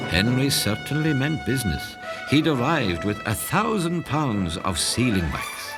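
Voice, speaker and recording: An Irish man, a monk guiding visitors, narrates history in a lowish voice that rises and falls with lively swings. His scripted storytelling carries wry, playful asides. Music plays clearly behind him. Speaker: heritage tour guide, a monk. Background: background music.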